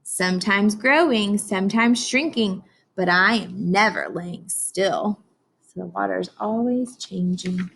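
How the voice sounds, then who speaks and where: An American woman reads a picture book aloud for young children in a medium-pitched voice. She sounds like a teacher, instructional and simple in her wording, turning to her listeners with prompts and questions. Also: takes it slowly, vocal fry, fairly bright tone, a little hiss or hum.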